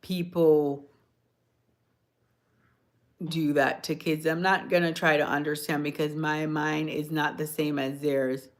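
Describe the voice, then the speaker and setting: A woman from Jamaica chatting on a phone livestream, answering viewers' comments in a soft-spoken, sometimes hoarse voice. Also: low voice, little pitch movement, takes it slowly, pauses often. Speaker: livestream host. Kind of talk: casual livestream chat with viewers.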